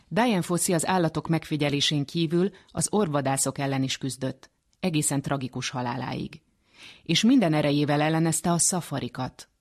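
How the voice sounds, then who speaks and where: A man presenting a radio science documentary, reading scripted narration. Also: on the high side.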